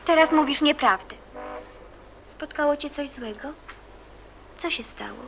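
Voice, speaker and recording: A woman's voice: sits high, unhurried, gravelly. A woman acting an eager, frank, cheerful teenage girl in a radio play, earnest, inquisitive and plain-spoken. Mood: concerned. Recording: noticeable background noise and ambience.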